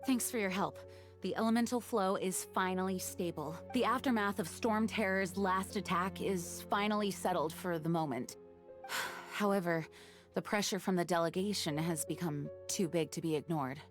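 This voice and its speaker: A woman's voice: fairly low pitch, normal speed, natural voice. An actress playing an earnest young woman knight commander in a video game, speaking formally and seriously. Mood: grave, measured. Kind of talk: scripted video game voice acting. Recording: game music behind the voice.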